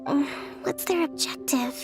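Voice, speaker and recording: A woman voicing a gentle young leader in a video game, speaking in a measured, thoughtful and formal way. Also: high-pitched, music in the background. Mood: pensive. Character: gentle, composed young female leader and strategist. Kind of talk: video game character voice acting.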